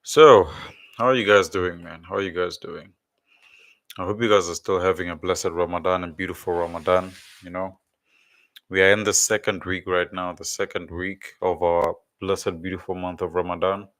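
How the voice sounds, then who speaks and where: A man's voice: low voice, fairly even intonation, normal speed, fairly bright tone, dry recording. A man hosting a casual religious livestream, congested with a blocked nose and sore throat, rambling and repeating words as he searches for them. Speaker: livestream host.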